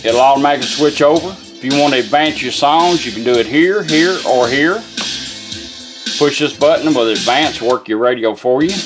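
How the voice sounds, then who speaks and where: Male product demonstrator, informal and folksy, medium pitch, casual hands-on walkthrough. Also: moderate pace, over music. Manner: folksy, informal, talks to the gadget.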